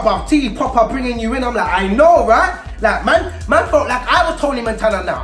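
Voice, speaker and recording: A man with a London accent tells a personal story to young people in street slang, animated and emphatic, acting out dialogue as he goes. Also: very high-pitched. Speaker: storyteller and youth mentor. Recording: roomy echo with faint music underneath.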